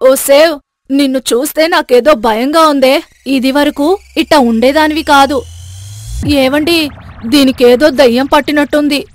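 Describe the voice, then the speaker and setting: A middle-aged mother-in-law from a cartoon moral tale, played by a woman. She is wary and exasperated, her high voice set over light music. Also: measured pace, pauses often, no echo. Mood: alarmed.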